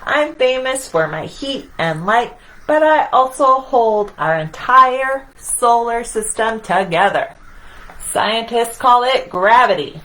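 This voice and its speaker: A woman's voice: a little high, animated, slow pace, a bit bright, poor audio. A woman voicing a cocky, self-important Sun in a children's picture-book read-aloud, bragging with theatrical emphasis. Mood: smug, showy.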